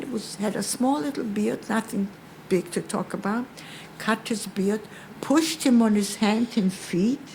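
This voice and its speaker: An older woman who grew up speaking Polish gives a personal testimony in English. Her phrasing is halting and a little broken, and she often repeats a word before moving on. A faint noise sits under her voice, which is pitched in a middle range. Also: a little mellow, low-quality recording. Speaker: Holocaust survivor speaker.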